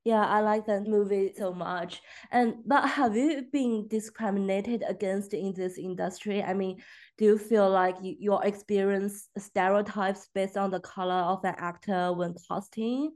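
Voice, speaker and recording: A woman's voice: medium pitch, steady tone, unhurried, darkish tone. Woman interviewer asking questions. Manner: short acknowledgements, probing questions.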